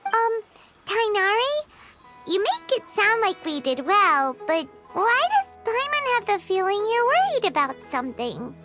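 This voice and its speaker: A tiny floating fairy girl from an anime game, extremely high and squeaky. The childlike voice swings brightly up and down as it exclaims, with prominent music behind it. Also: huge pitch swings, a little slow, heavily acted. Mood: curious concern. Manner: speaks of self in third person, exclaiming. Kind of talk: anime-style video game voice acting.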